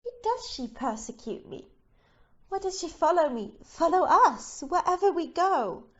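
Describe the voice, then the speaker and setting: A dull lo-fi audio-play recording of a woman playing an anxious young bride. Her very high voice moves slowly, fragile and pleading. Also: big pitch swings, full character voice. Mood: distressed. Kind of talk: amateur audio play acting. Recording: dull, muffled lo-fi.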